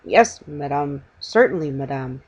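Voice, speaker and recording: Fussy storybook salesman acted by a woman, deferential, husky, with swooping intonation. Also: low-pitched, lively intonation, unhurried, a little mellow, highs cut off, voice pushed down, exaggerated voice. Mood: deferential, nervous.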